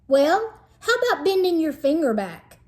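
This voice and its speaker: A woman's voice: high voice, very animated, moderate pace, a little mellow, pitched above the performer's own voice. A woman reading a storybook as a reluctant, wry fourth-grade boy, plain-spoken and questioning. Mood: eager suggesting.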